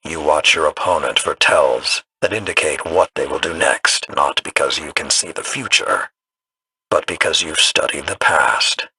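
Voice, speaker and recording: Casting: grave game war veteran, very low forceful voice, commanding and unforgiving, faint noise behind. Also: male voice, unhurried, heavily acted, rough recording. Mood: stern, contemplative. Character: stern male military veteran commander, middle-aged, unforgiving. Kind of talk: video game voice acting.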